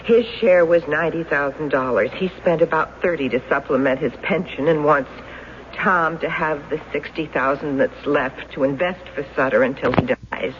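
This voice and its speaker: An actress in a radio drama playing a plain-spoken, protective and somewhat impatient wife. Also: female voice, sits low, normal speed, close to an everyday voice. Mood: insistent, impatient. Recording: dull hissy old radio recording.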